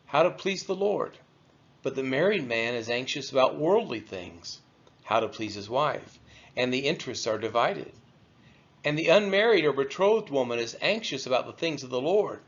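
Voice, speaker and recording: A man reading scripture aloud from a text, with measured, emphatic phrasing. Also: medium pitch, dull audio. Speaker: scripture reader.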